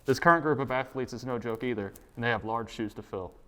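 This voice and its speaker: A teenage boy reads a scripted sports segment for a school TV show. He moves quickly through the copy in an even, mid-pitched voice. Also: a little hiss or hum. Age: teens. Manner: brisk, read from script. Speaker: student sports anchor. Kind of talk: scripted news reading. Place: school TV studio.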